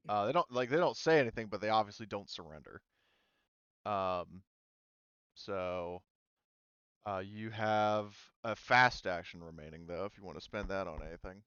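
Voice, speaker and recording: A man acting as game master, casually explaining rules and thinking aloud in a halting way. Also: lowish voice, a bit bright, clean tone, no echo, dull audio. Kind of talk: casual tabletop game narration and rules talk.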